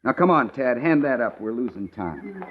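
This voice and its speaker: An actor playing a stubborn young farmer with a rural American accent in an old-time radio western, curt and defensive. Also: male voice, medium pitch, big pitch swings, moderate pace, slightly rough. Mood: stubborn, irritated. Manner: brusque, defensive. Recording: muffled old radio recording.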